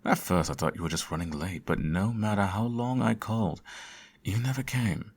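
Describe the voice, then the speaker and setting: Man playing a deep, husky, sardonic supervillain. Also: normal speed. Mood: smug, teasing. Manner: theatrical, teasing, sarcastic. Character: sardonic male supervillain fussing over his hero nemesis. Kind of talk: ASMR audio roleplay.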